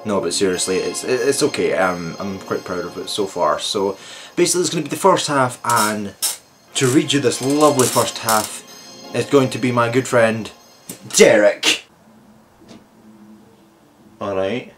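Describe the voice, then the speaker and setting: A man's voice: fairly low pitch, a little slow, over music. A man hosting his own channel, introducing a video in a rambling, joking way with many restarts. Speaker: channel host.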